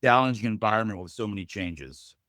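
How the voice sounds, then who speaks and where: A man with a deep, loud voice talks earnestly as an interview guest. His pitch sits low and his words come in measured, considered phrases. The sound is close and dry, with no room around it. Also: no echo. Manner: earnest, measured, addresses host by name often. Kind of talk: interview conversation.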